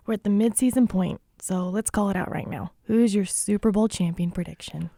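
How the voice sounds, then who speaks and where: Hoarse gravelly woman sports host. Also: mid-range voice, fairly quick, mellow, dry recording, clean studio sound. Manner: chatty, eager to debate picks. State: hoarse after losing her voice. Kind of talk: casual sports talk. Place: radio studio.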